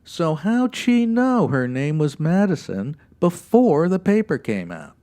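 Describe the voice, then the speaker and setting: A man's voice: a little high, sing-song, unhurried, warm tone. A man playing an impatient, puzzled police lieutenant in a 1940s radio noir drama.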